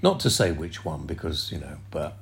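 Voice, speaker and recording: A man's voice: very deep voice, sing-song, normal speed, gravelly, slight background noise. An older man, hosting a casual podcast chat and laughing often. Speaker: podcast host.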